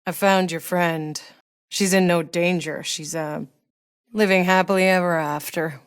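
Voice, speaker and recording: Woman acting a low-voiced, sardonic hardened soldier. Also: fairly even intonation, unhurried, clean tone, lightly acted. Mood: dry, guarded. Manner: dry, blunt, clipped delivery. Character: hardened, sardonic female sci-fi soldier, blunt and world-weary. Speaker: video game player character. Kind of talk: naturalistic game character voice lines.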